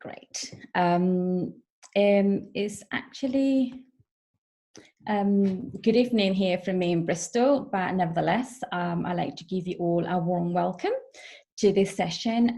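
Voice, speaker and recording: A woman from Peru, an international officer, giving a measured online information session over a video call with frequent fillers. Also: mid-range voice, vocal fry, dark tone. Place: home or office in the evening.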